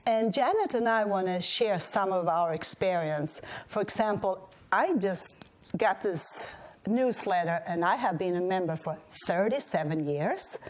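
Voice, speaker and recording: A woman's voice: mid-range voice, expressive, measured pace. A woman from Sweden with a slight Swedish accent, hosting a casual chat show and often restarting her sentences. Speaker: host.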